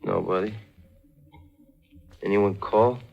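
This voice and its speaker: A man's voice: fairly low pitch, steady tone, takes it slowly, vocal fry, muffled recording, heavily acted. An actor playing a broke, suspicious, hot-tempered tough guy in 1940s radio drama, with streetwise, ungrammatical speech. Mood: suspicious, curt. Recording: 1940s radio recording with music.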